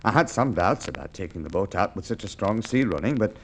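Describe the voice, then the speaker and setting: A man's voice: lowish voice, a little fast, a little hiss or hum. An actor playing a polite, scheming man who murders his business partner, in an old radio drama. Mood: feigned grief. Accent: Australian. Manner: calm, polite and menacing. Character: cold scheming male murderer, smooth and polite. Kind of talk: 1950s radio drama acting.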